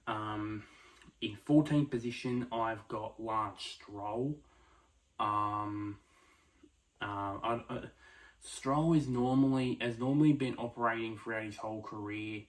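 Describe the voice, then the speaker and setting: A man giving casual solo motorsport predictions, speaking quietly late at night, with many fillers and restarts. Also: lowish voice, slow pace, low-quality recording. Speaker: F1 prediction vlogger.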